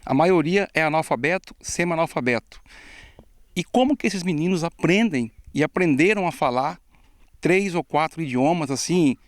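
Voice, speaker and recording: A man's voice: fairly high pitch, expressive, moderate pace, no echo. A man giving an emphatic, amazed interview answer.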